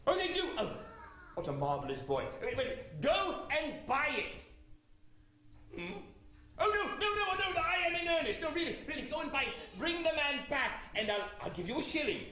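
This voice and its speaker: Guy putting on a high, swooping old miser, giddy and stammery, super echoey room. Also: very animated, normal speed, sharp tone, exaggerated voice. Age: older. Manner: breathless, stammering, laughing between words. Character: old male miser, newly giddy and kind. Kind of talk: storytelling with voiced character.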